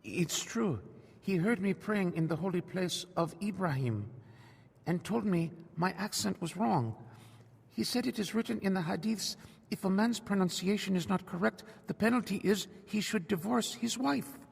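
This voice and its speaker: A man's voice: high voice, expressive, normal speed, gravelly, breathy edge, long reverb tail, full character voice. An actor playing an earnest, defiant Iranian man in a radio drama, at times narrating his own story. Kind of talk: radio drama acting and first-person narration.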